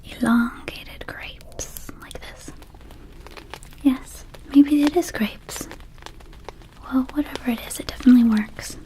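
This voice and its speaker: Slow, whispering woman as a gentle vampire herbalist. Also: high voice, rough recording. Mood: soothing. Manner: soothing, unhurried, childlike wording. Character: gentle female vampire dispensary keeper offering magic herbs. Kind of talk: whispered ASMR roleplay.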